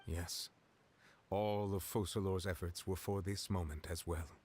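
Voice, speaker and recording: An actor playing a grave, composed male judge in a video game, speaking formally and philosophically in measured phrases. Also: deep voice, fairly even intonation, a little mellow. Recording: clear game music behind the voice.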